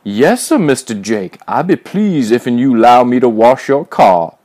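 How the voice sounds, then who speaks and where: A man's voice: mid-range voice, animated, unhurried, a little mellow. A man voicing a mocking caricature of a stooped old manservant, with exaggerated fawning and drawling dialect. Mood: fawning mockery. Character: shuffling old servile manservant, put on in mockery.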